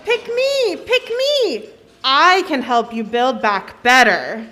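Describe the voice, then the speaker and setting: A woman playing a young, modest maiden puppet with deliberate, playful delivery. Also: sits high, animated, sharp tone, heavily acted. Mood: pleading.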